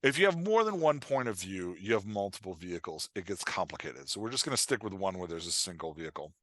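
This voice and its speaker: Bright-voiced man explaining casually, close and dry. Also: low voice, very animated, quick pace, dry recording. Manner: informal, emphatic, illustrates points with quoted examples. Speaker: guest author and dialogue coach. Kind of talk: conversational explanation on a writing podcast.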